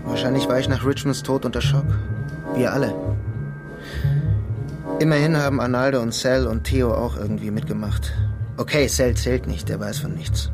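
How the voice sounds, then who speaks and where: A man's voice: mid-range voice, fairly even intonation, normal speed, long pauses, loud music behind the voice, lightly acted. An actor playing a sly, resigned young immigrant clerk in a radio drama, wry and understated as he narrates and talks. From Germany. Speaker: narrator and lead character. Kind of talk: radio drama first-person narration and dialogue.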